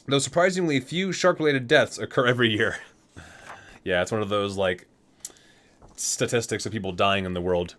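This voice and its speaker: A man hosting a gaming ASMR video, reading entries aloud and dropping into casual, amused asides. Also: mid-range voice.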